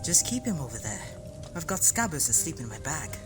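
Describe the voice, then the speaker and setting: A woman's voice: sits low, big pitch swings, fairly quick, music in the background. A woman playing a blunt, grumpy teenage schoolboy with an indignant manner in an audio drama. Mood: grumbling. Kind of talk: fan audio drama acting.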